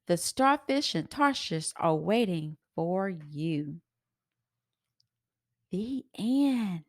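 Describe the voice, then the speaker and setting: A woman reading a children's story aloud in an expressive, sing-song rhyming delivery. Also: lowish voice, extremely slow, no room sound, clean studio sound.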